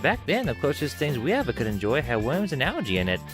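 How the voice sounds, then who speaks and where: Casting: man as earnest young cartoon team leader, fast talker, lively intonation, loud score behind. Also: medium pitch, over music. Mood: curious. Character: earnest young heroic male ninja turtle leader.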